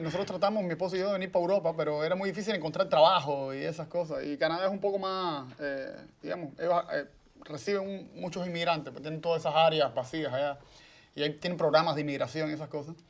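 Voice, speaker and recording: A man from Cuba with a Cuban accent, a podcast guest telling his story in a lively way. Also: on the high side. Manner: lively storytelling, laughs easily. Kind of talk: casual conversation.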